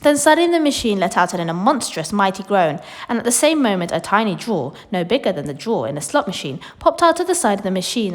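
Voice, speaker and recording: A woman reading a children's book aloud in an expressive, theatrical storytelling style. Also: mid-range voice. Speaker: storybook reader. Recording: long hall-like reverb.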